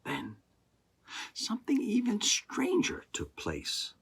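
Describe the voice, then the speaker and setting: A man reading a rhyming children's story aloud in a deliberate, expressive way, with pauses. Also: high voice, gravelly, breathy edge, in a whisper. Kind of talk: rhyming scripted story narration.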